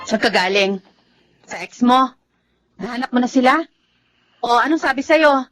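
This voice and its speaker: A woman's voice: fairly high pitch, animated, normal speed, fairly bright tone. A woman with a Filipino accent playing an attentive but suspicious wife in a TV drama. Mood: suspicious, accusing.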